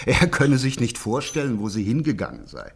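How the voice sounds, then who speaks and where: A man's voice: medium pitch, huge pitch swings, moderate pace, creaky, husky, full character voice. A man acting an eager, gossipy small-town local in a German radio crime play, talkative and emphatic. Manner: talkative, emphatic, indignant asides. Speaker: actor in a radio crime drama.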